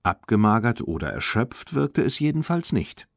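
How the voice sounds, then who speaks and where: A man's voice: mid-range voice, very animated, normal speed, vocal fry, no echo, clean studio sound. A man with a standard German accent reading a children's audiobook as scripted narration.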